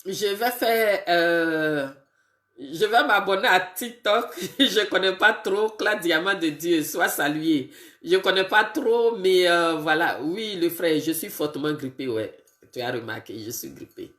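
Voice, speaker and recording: A woman who has a bad cold, greeting her viewers one by one on a casual live video and talking in a halting way with many fillers. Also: fairly low pitch, expressive, fairly bright tone, echoey room. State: congested with a cold, sniffly and tired. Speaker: political live streamer.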